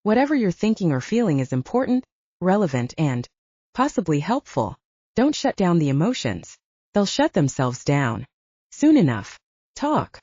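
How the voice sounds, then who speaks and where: Woman acting a frank, commanding female investigator, animated. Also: lowish voice, normal speed, breathy edge, no room sound. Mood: brisk, commanding. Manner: blunt, commanding, coaching. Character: brisk, frank female supernatural investigator and mentor. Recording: dull lo-fi, very dry.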